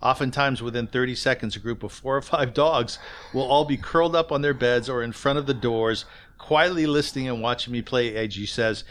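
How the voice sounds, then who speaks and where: A man's voice: medium pitch, a little fast, a bit bright, clean tone. A man hosting a conversational podcast interview, speaking earnestly and often checking for agreement.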